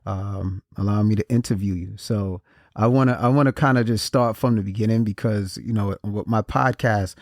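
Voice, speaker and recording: Low-voiced male podcast host, dry sound. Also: darkish tone, no room sound. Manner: halting, with frequent restarts and repeated words. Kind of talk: casual interview.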